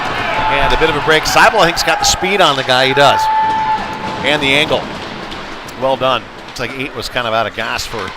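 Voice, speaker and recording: Man calling hockey over loud rink noise. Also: medium pitch. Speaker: play-by-play commentator. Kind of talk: live youth ice hockey play-by-play commentary. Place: indoor ice rink, at the game. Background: loud rink ambience, bangs.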